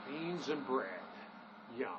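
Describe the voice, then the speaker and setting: A man's voice: medium pitch, slow pace, muffled recording, noisy background, natural voice. A man playing a skeptical, sarcastic fugitive in an audio drama, grumbling sardonically at his partner.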